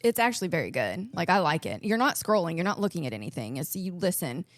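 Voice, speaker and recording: A woman co-hosting a podcast in easy, chatty talk. Her voice sits a little low, and she laughs easily between points. The sound is close and dry. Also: very animated, quick pace, dry recording. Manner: chatty, reads facts aloud, laughs easily. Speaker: podcast co-host. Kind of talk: casual podcast chat.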